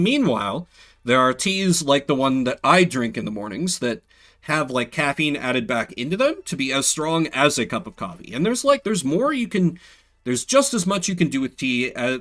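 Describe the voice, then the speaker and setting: A man's voice: mid-range voice, sing-song, moderate pace, fairly bright tone. A man giving casual live game commentary as a streamer, rambling with playful, self-deprecating asides.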